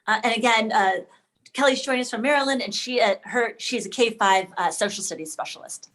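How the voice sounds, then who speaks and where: A woman's voice: fairly high pitch, fairly quick, a bit bright. A woman hosting an online session for educators, presenting in a conversational way and checking in with listeners. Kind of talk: presenting and moderating a video meeting discussion.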